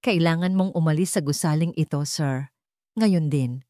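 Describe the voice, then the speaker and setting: Filipino grandmother, read by a female narrator, steady and urgent, storybook drama, dry recording. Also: sits low, normal speed, no room sound, close to an everyday voice. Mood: calm urgency. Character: calm but urgent grandmother. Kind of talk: audio story character lines.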